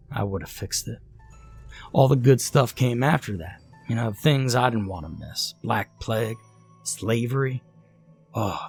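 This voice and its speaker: A man voicing a mocking ancient god who is part devil, with a deep, flat voice. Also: vocal fry, slightly rough, full character voice. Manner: turns maniacal and wicked. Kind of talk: storytelling with acted dialogue.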